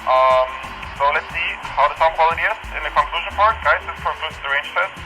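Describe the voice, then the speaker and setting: A man's voice: fairly high pitch, brisk, over music, low-quality recording. A man from Europe casually reporting a range test as a tech reviewer, heard over a walkie-talkie. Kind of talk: casual outdoor test commentary.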